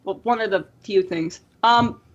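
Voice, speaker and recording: A woman co-hosting a casual podcast, talking in an animated, playfully exaggerated way. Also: medium pitch, a little slow, dull audio. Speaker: podcast co-host.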